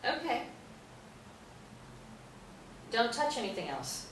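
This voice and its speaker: A woman's voice: a little high, sing-song, slow, a bit bright, exaggerated voice. A woman playing a curt, gloomy and sardonic playwright in a live stage play reading. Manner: sardonic, dismissive. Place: echoey indoor stage or hall. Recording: echoey room, distant mic, faint noise.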